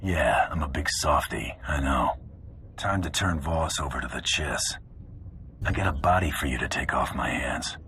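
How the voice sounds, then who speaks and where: A man's voice: extremely low pitch, normal speed, sharp tone, slight background noise, heavily acted. A man playing a tough, wry bounty hunter in a video game, with dry, terse delivery. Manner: dry, terse, hard-boiled. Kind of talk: video game cutscene acting.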